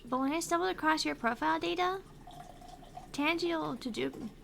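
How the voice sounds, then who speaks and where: Woman voicing a female AI assistant, digital helper, sweet, earnest, high voice, gravelly fry. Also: normal speed, fairly bright tone, slight background noise, exaggerated voice. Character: cheerful female AI phone assistant. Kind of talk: reading game dialogue aloud.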